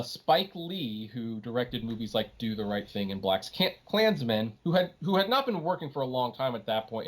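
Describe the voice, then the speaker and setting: A man hosting a podcast, giving his opinions casually and emphatically, often acting out what other people say. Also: medium pitch. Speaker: podcast host.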